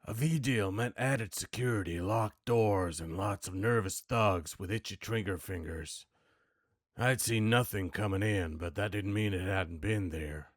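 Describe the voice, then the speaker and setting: Male, deep register, dry noir narrator and self-musing game commentator, tight dry recording. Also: unhurried, no echo. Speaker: video creator practicing a character voice.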